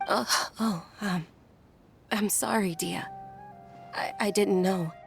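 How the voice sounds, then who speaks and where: A woman voicing a gentle, concerned young noblewoman in a video game, polite, earnest and soft-spoken. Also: mid-range voice, steady tone, slow, creaky, faint music behind. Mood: apologetic. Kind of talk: video game voice acting, story dialogue.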